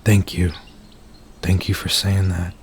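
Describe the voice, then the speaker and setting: A man playing a nervous, earnest werewolf in an intimate ASMR roleplay, speaking softly and haltingly as he confides in his date. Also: low voice, flat delivery, slight background noise. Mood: apologetic, earnest. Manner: soft-spoken, hesitant, halting, earnest.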